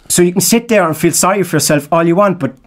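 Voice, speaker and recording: An actor playing an anxious, earnest screenwriter in an audio drama, hesitant, stammering and repeating himself. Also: male voice, sits high, fairly bright tone. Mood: pleading, frustrated. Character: anxious, pleading young male screenwriter, earnest and flustered.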